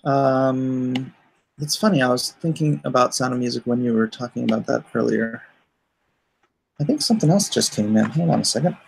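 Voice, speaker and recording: A man chatting casually as a livestream host, haltingly and often muttering to himself. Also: mid-range voice, gravelly, slightly rough, low-quality recording. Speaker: comic artist and livestream host.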